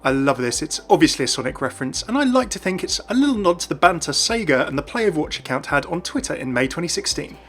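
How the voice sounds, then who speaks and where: A man's voice: on the high side, very animated, fast talker, hardly any pauses, fairly bright tone. A man hosting a gaming video, reading scripted narration. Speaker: YouTube video host. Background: music.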